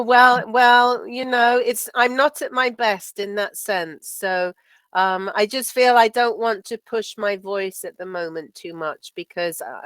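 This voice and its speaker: Woman teacher, slightly croaky, chatting up close. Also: medium pitch, a bit bright, dry recording. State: sore throat, recovering from flu. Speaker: English teacher. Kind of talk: casual conversational lesson. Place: video call from home.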